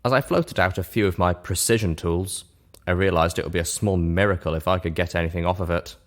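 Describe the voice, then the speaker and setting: A man's voice: low voice, brisk. A man reading a first-person story aloud as scripted narration. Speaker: narrator, reader of fan fiction.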